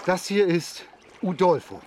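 An earnest, high-voiced guardian. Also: male voice, animated, unhurried, darkish tone, slight background noise. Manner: earnest, insistent. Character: earnest, anxious pirate-tale family man, gentle guardian. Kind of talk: children's radio play acting.

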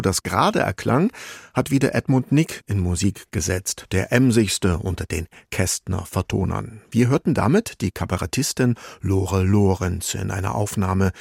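A man reads a scripted music feature as a radio presenter, in a measured, explanatory manner. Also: fairly low pitch, vocal fry, darkish tone, slightly rough, no echo, clean studio sound.

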